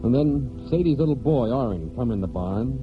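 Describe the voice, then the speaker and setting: A man's voice: mid-range voice, expressive, normal speed, over music, close to an everyday voice. An actor playing a plain-spoken, proud farmhand with folksy rural speech, telling his story in a 1940s radio drama. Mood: reflective. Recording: dull old 1940s radio broadcast.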